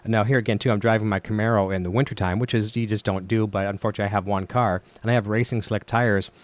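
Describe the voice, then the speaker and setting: A man's voice: lowish voice, fairly even intonation, fast talker, no echo. A middle-aged Canadian man hosting a casual podcast interview, chatty and easy-laughing, telling anecdotes. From Ontario, Canada. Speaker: podcast host.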